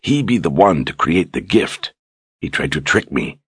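A man's voice: very deep voice, sing-song, moderate pace, slightly rough, no room sound, studio quality, exaggerated voice. A man voicing a pompous, zealous lord general who growls with rustic grammar. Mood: fanatical.

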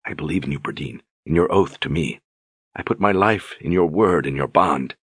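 A man voicing a calm, steadfast young lord who speaks gently and reassuringly. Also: low voice, moderate pace, no room sound. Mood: gentle.

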